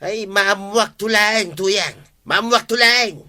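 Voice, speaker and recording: A man playing a bright, high-voiced village buddy. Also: slow pace, exaggerated voice. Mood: evasive. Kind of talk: radio comedy drama acting.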